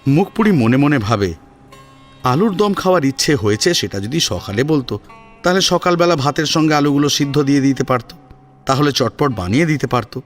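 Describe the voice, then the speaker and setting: A man's voice: mid-range voice, animated, a little fast, soft background music. A man narrating a scripted family story as a dramatic storyteller.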